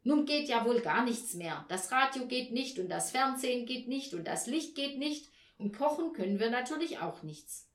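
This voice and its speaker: Storybook mother, acted by a female reader: short-tempered, emphatic, complaining, animated intonation, room echo. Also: fairly high pitch, moderate pace, pitched above the performer's own voice, close to an everyday voice. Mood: exasperated. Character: irritable, short-tempered mother, exasperated and snappish.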